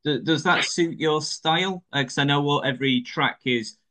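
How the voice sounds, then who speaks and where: A man's voice: fairly high pitch, moderate pace, pushed and bright. English man, interviewer, friendly, hesitant, lots of fillers. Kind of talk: interview questions.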